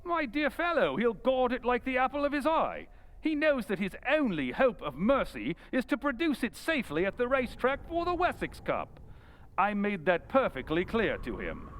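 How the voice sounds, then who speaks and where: Man playing gentleman detective, high voice, lively intonation, brisk, confident, wry, theatrical, old-time radio drama. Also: full character voice, poor audio. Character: confident, clever, wryly playful gentleman detective.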